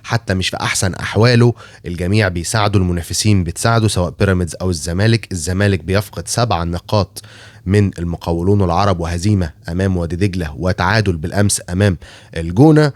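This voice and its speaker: A man's voice: low-pitched, darkish tone, dry recording. A man with an Egyptian Arabic accent and a cold, giving a critical casual monologue about football, with many filler sounds and restarts. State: has a cold, hoarse. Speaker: football commentator.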